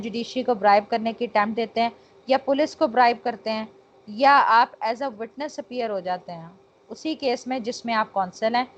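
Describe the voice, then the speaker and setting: A woman's voice: a little high, slight background noise. A woman with a Pakistani accent giving an instructional law lecture point by point, mixing in English technical terms. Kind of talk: exam-prep lecture, explaining point by point. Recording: dull, lo-fi lecture recording.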